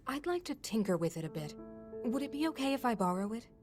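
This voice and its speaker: An actress playing a learned, scholarly non-human sage and older sister, explaining in a measured, thoughtful way. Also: female voice, fairly high pitch, big pitch swings, over music. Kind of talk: game cutscene voice acting.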